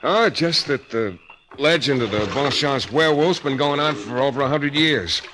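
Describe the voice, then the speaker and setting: An actor playing a plainspoken, laconic private detective, casual and musing, in 1940s radio drama style. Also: male voice, medium pitch, lively intonation, moderate pace, natural voice. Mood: musing, uneasy. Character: plainspoken, uneasy male hardboiled private detective. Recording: noisy vintage radio recording with clicks.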